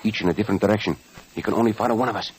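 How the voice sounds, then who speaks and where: A man's voice: fairly low pitch, racing, darkish tone, lightly acted. A man playing a suave, commanding cowboy adventurer in a radio Western, courtly and teasing. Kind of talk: 1940s-50s radio Western acting. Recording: noisy old radio show recording.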